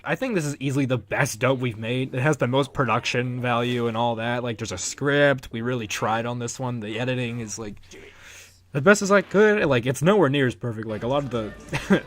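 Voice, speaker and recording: A young man giving casual, rambling and enthusiastic behind-the-scenes commentary as the creator of a fan dub. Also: mid-range voice. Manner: rambling, enthusiastic, chuckles often. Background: music under the voice.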